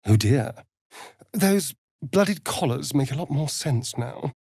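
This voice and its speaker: An actor playing a sly, vain vampire rogue in a video game, with a silky, theatrical, mocking drawl. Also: male voice, on the high side, lively intonation, slow, gravelly, a little mellow, slightly rough, no room sound, clean studio sound, exaggerated voice. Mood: teasing, disdainful. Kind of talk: video game character voice lines.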